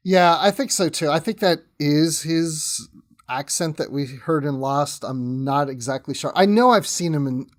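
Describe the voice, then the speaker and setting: A man's voice: a little high, a little slow. A man chatting as a guest in a casual fan podcast discussion, conversational with tentative asides.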